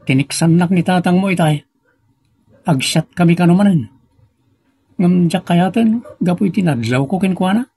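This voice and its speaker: A suspicious, gossiping man played by an actor in an Ilocano comedy drama, with a northern Philippine accent. His voice sits high for a man and is gravelly and husky, recorded very dry. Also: moderate pace, long pauses, warm tone, no echo. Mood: uneasy, confiding. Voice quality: husky, creaky. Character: suspicious, gossiping man uneasy about friend's father. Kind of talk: Ilocano comedy radio-style drama acting.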